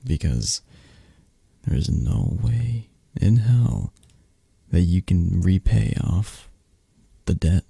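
A man playing a possessive, flirty mafia boss who dotes on his lover, murmuring softly and intimately in an ASMR romantic roleplay, with a breathy, husky, hesitant and reassuring delivery. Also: low voice, expressive, slow pace, long pauses, no room sound, exaggerated voice. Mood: teasing, possessive.

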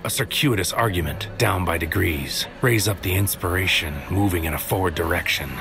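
Deep-voiced brooding narrator, solemn. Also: male voice, creaky, music in the background. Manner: solemn, deliberate, poetic. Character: brooding, fatalistic man narrating his bleak inner world. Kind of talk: scripted audio drama monologue.

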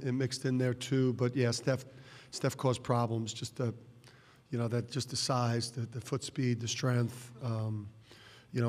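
A man's voice: mid-range voice, a little mellow. A middle-aged man, a basketball coach, answering press conference questions bluntly with many restarts and repeated words, speaking into a table microphone. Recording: reverberant press room.

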